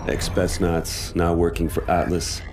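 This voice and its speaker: A man's voice: deep voice, completely flat delivery, a little slow. An actor playing a grim, world-weary soldier who narrates his war in a video game. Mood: grim, reflective. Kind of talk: scripted dramatic game narration. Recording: dramatic music behind the voice.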